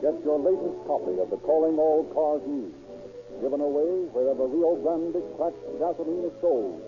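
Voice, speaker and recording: A man's voice: fairly high pitch, moderate pace, over loud music. A man announcing scripted sponsor copy in 1930s radio style. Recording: tinny, noisy vintage radio recording.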